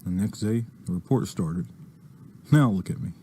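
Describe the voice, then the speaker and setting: Jittery male ex-junkie inmate, acted by a man: slow, mellow, hesitant, pleading, faint noise behind. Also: sits low, natural voice. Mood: earnest confession. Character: jittery, earnest male ex-addict convict. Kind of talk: dramatized comic dialogue.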